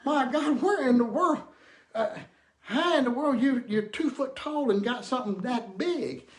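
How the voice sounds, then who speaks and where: Man acting out a panicky, high-pitched gullible guy. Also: expressive, unhurried. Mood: astonished. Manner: exclaiming, repeats phrases in alarm.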